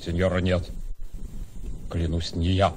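An actor playing a passionate, hot-headed young gentleman suitor in a radio drama, exclamatory and impassioned. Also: deep voice, monotone, a little slow, a little hiss or hum. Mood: shocked, protesting.

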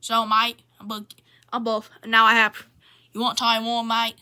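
A woman's voice: on the high side, monotone, a little slow, pushed and bright, clear, resonant tone. A woman voicing Australia as a cheerful, knife-happy Aussie bloke in an improvised comedy skit, brash and matey with an Australian accent. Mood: boastful, matey. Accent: Australian, put on. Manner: brash, matey, repetitive slangy phrasing.

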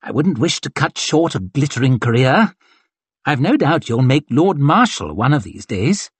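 A man's voice: mid-range voice, sing-song, moderate pace, slightly rough, no echo. A man voicing an arrogant, mocking cavalry colonel with a sneering, theatrical delivery. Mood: haughty.

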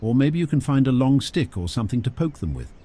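A man's voice: medium pitch, sing-song, quick pace, warm tone, pitched above the performer's own voice. A man voicing a posh, fussy old lady neighbor in a storybook, theatrical and gushing, calling everyone darling.

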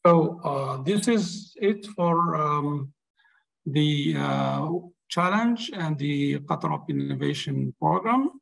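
A man hosting an online webinar, explaining steps with many pauses and fillers, on a video call mic. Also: fairly high pitch, animated, gravelly, fairly bright tone, poor audio. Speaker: webinar host. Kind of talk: webinar presentation. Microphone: webcam on a video call.

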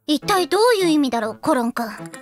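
High-voiced, mock-serious little girl detective. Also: very animated, fast, over loud music. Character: earnest little fairy girls playing hard-boiled detectives. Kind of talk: anime detective parody acting.

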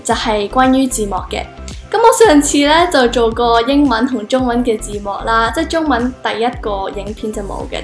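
A teenage girl who grew up speaking Cantonese, earnestly hosting a casual language lesson to camera and mixing in filler words. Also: a little high. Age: teens. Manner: earnest, switching between English and Cantonese with frequent fillers. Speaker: language channel host. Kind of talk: casual teaching vlog. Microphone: camera at close range facing her. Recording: echoey room with faint music.

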